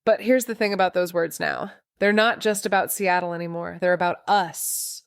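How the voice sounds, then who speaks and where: A woman's voice: lowish voice, moderate pace, creaky, clean tone, no echo, clean studio sound. A woman playing a guilt-ridden, wry amateur sleuth, narrating in the first person in a noir audio drama. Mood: brooding. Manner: wry, introspective, brooding.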